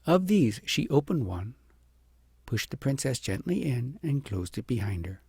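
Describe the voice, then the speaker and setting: Guy reading a kids audiobook, gentle n expressive, husky, pretty dry sound. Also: mid-range voice, measured pace, dry recording. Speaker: audiobook narrator. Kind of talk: storybook narration.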